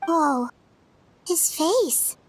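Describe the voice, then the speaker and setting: Tiny squeaky childlike sidekick. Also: female voice, a little hiss or hum, full character voice. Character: small, childlike, excitable, squeaky sidekick creature. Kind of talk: video game voice acting.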